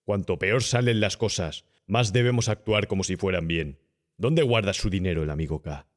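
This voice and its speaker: A man voicing a cynical, calm, domineering young gentleman body snatcher who talks persuasively and condescendingly in an audiobook horror story. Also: deep voice, moderate pace, natural voice. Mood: brusque, calculating. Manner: smooth-talking, persuasive, condescending.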